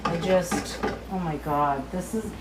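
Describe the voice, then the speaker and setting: A woman with a light Boston accent hosting a live cooking demo from her kitchen, chatty and excitable as she talks to viewers. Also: lowish voice, slow pace, noisy background. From Boston area. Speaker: cooking show host. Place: home kitchen, indoors.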